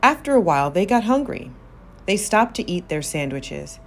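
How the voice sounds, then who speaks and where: A woman narrating a children's story aloud, expressive, with drawn-out emphasis on key words. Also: medium pitch. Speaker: storybook reader.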